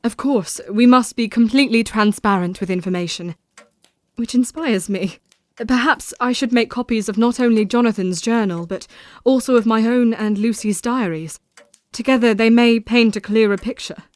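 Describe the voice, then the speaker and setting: A woman's voice: on the high side, normal speed, no room sound, lightly acted. A woman acting in an audio drama as a resolute, grieving young Victorian woman who speaks earnestly and formally.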